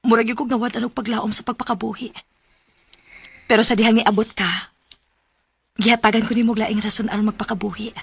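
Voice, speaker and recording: A devoted young sweetheart in a Cebuano radio drama. She is tender and sincere, with a fairly high voice that is a little husky and a Cebuano accent. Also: level tone, fairly quick, pauses often, a bit bright, no room sound, exaggerated voice. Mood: tender, grateful.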